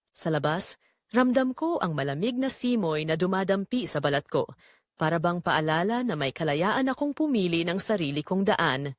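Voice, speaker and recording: Expressive woman narrating a romance. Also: fairly low pitch, no echo, studio quality. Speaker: story narrator. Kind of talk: scripted first-person romance drama narration.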